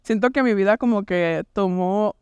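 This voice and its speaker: A young Puerto Rican woman talking easily on a podcast, her voice smooth and resonant. She speaks in a chatty way, leaning on fillers and slipping English words into her sentences. The sound is close and dry, with no room behind it. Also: mid-range voice, moderate pace, a little mellow, no echo. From Puerto Rico. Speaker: podcast guest, church worship resident. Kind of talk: casual podcast chat.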